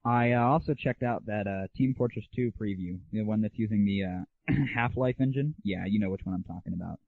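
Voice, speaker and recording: A man's voice: fairly low pitch, fairly quick, gravelly, no room sound. A man hosting a gaming audio show, giving casual, slangy, opinionated and irreverent reviews. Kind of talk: casual solo rant and game review. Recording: narrow, phone-like 1990s internet audio.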